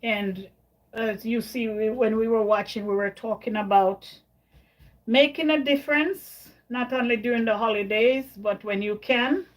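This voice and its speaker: A woman's voice: on the high side, steady tone, measured pace, poor audio. A woman from Jamaica hosting a casual livestream, chatting with viewers, answering their comments and often repeating her words. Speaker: livestream host.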